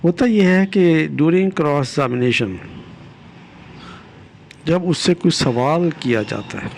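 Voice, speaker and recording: Slow, creaky-voiced Pakistani man lecturing. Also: a little high, a little mellow, a little hiss or hum. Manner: deliberate, didactic, repeats key phrases, switches into English legal terms. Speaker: retired judge giving legal lessons. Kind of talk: explanatory law lecture.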